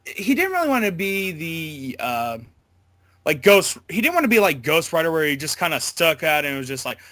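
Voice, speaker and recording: Halting, higher-pitched man, close dry sound. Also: sing-song, a bit bright, dry recording. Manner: halting, hesitant, loosely worded. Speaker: voice actor being interviewed. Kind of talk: casual interview chat.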